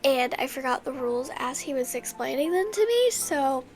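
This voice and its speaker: A young woman with a noticeably high voice talks in a loose, chatty ramble, often restarting her sentences. Her head cold makes her sound stuffy and congested, and soft music plays faintly behind her. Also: lively intonation, moderate pace. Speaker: book vlogger. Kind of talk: casual chat.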